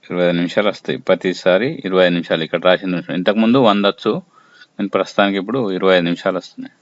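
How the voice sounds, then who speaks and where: A man presenting an informal app tutorial, explaining step by step in short, halting phrases. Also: sits low, steady tone. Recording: muffled lo-fi audio with faint noise.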